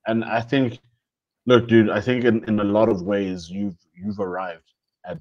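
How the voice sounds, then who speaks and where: A young man with a lowish voice talks through the year in relaxed podcast chat. He muses aloud, circles back to restart phrases and slips in easygoing slang. The sound is muffled and lo-fi. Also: measured pace. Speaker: podcast co-host. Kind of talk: casual reflective chat. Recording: dull lo-fi sound.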